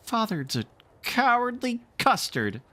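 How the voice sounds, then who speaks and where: A man plays a small storybook boy, suspicious and defiant. The voice is pushed very high, with a cheeky, taunting edge and lively pitch. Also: measured pace, full character voice.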